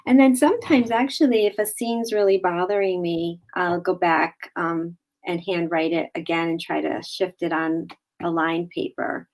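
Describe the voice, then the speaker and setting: A woman author guest on a video call, answering questions earnestly and haltingly. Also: on the low side, fairly even intonation, darkish tone, rough recording. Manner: earnest, halting, many fillers and restarts. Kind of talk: casual interview answers.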